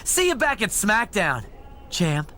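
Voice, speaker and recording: A smug wrestling-game schemer with a high, bright voice that swoops with mockery. He needles like a slick henchman, sure he has won. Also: wildly swooping pitch, takes it slowly, slight background noise, full character voice. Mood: mocking. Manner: smug, mocking. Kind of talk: video game cutscene acting.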